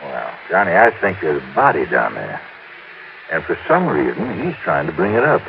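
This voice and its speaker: An actor playing a folksy, excitable fishing guide who chats and confides in 1950s radio drama style. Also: male voice, extremely low pitch, moderate pace, gravelly, heavily acted. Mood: confiding, suspicious. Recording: narrow, noisy old radio recording.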